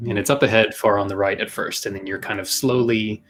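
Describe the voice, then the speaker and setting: A man improvising tabletop game narration as game master, telling the scene in a halting, descriptive way. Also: on the low side, steady tone, fairly bright tone. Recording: music behind the voice. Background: music.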